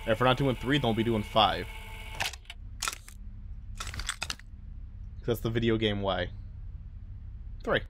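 A man's voice: lowish voice, takes it slowly, long pauses. Man giving casual game commentary over noise. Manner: talks back to game characters, thinks aloud. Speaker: gaming video host. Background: game ambience.

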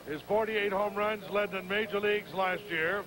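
A man with a high voice calls out player introductions over a stadium loudspeaker. He speaks slowly and deliberately, pausing between each name. A loud roar of stadium noise surrounds his voice on this old 1980 broadcast. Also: steady tone. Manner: slow, deliberate, drawn-out pauses between names. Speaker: stadium public address announcer. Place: outdoor ballpark stadium. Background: loud crowd.

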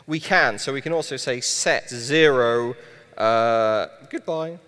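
A man's voice: mid-range voice, slow, fairly bright tone, smooth. Man live-coding on a big hall stage. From Wales. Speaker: conference speaker live-coding. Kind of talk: live-coded tech talk on stage. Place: large conference hall stage. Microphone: headset mic. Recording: long hall reverb.

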